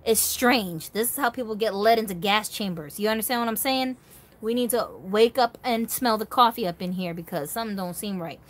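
A woman's voice: medium pitch, fairly quick. Emphatic woman vlogger chatting casually. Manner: emphatic, conversational, seeks agreement with rhetorical questions. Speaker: host of a doll collectors' channel. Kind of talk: casual opinionated vlog talk to viewers.

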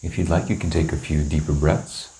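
A man leading a guided meditation, giving calm, gentle, unhurried instructions with long pauses. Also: very deep voice, slight background noise. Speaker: meditation teacher. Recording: roomy, echoing indoor sound.

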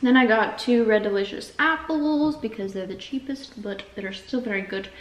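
A woman's voice: on the high side, normal speed, dull audio. A young woman, vlogging casually in her home kitchen, chatty and self-deprecating, full of "like" fillers. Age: young adult. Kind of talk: casual food vlog chat. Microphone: camera on the counter, some distance away. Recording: roomy, distant camera mic with hiss.

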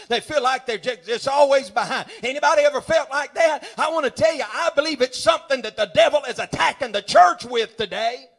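A middle-aged man preaching a sermon in a folksy, rambling way, full of hallelujahs and rising to shouts. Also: extremely high pitch. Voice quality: folksy, rambling, repeats words. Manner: building to shouts, frequent hallelujahs.

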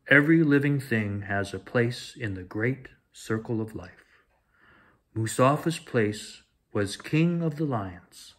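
A man in his forties reading a children's picture book aloud as a storyteller, deliberate and expressive. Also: fairly low pitch. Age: 40s. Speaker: storyteller reading bedtime stories. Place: small home room with shelves, indoors.

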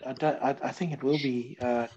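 A man with a medium-pitched voice talks casually on a panel. He sounds measured and tentative, and the recording is dull and lo-fi. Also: fairly even intonation, a little mellow. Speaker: podcast panelist. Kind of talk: casual group chat. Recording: dull lo-fi connection.

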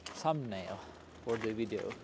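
A man hosting a walking tour in a casual, unscripted way, speaking in halting, broken phrases outdoors on a city street. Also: on the low side, expressive. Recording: noisy outdoor street with traffic. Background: street ambience and passing vehicles.

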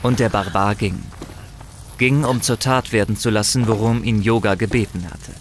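A man narrating a fantasy audio drama in a grave, measured, scripted storytelling style. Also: on the low side, noisy background. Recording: music behind the voice.

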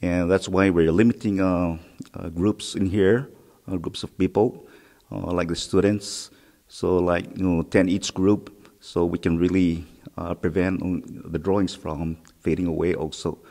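Low-voiced middle-aged male guide, hesitant with lots of "uh", echoing cave. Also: fairly even intonation, gravelly, warm tone. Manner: halting, many "uh" fillers. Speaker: historic preservation officer. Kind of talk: on-site interview. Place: inside a rock cave. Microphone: handheld mic held close. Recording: long cave reverb.

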